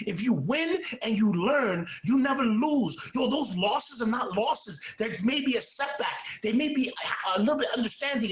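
A man's voice: very high voice, a bit bright, echoey room, rough recording. A man with a Castilian Spanish accent, a streamer guest on a video call, giving punchy motivational talk that repeats its slogans. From Cuban, Haitian and Ecuadorian family.

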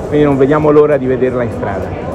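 Confident man pitching at a motor show. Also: quick pace, dull audio, crowd noise. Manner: confident, promotional, fluent. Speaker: car company executive. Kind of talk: interview answers. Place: busy motor show hall.

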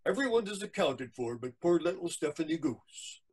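A man voicing a grave, methodical court clerk, formal and deliberate, in a storybook poem. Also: on the high side, sing-song, a little mellow, husky.

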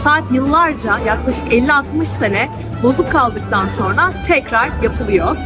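Female travel vlogger, high voice, chatty explainer talking straight to viewers, loud music behind. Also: expressive, unhurried. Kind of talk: casual travel vlog narration to camera. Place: outdoors in city streets. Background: loud music, urban street sounds.

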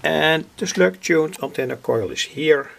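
A man from the Netherlands with a Dutch accent, explaining electronics informally in halting, deliberate phrases. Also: a little high, expressive, a bit bright, slight background noise. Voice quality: gravelly, husky. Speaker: electronics hobbyist, vlogger. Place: indoors at a home electronics workbench.

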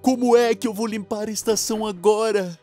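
A man's voice: sits high, fairly even intonation, brisk, mellow, soft background music, lightly acted. A man voicing a fussy, fretful male steam engine in a children's cartoon dub, whiny and dramatic, with a Brazilian accent.

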